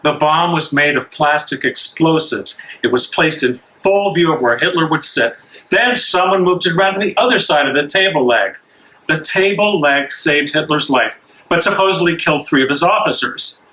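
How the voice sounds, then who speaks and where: Guy acting an angry jailed dissident in a stage play reading, bright tense voice, on the high side, insistent and bitter. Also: lively intonation, normal speed, poor audio. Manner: agitated, challenging, insistent. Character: angry, bitter imprisoned German dissident, agitated and pleading. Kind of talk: amateur stage dramatic reading.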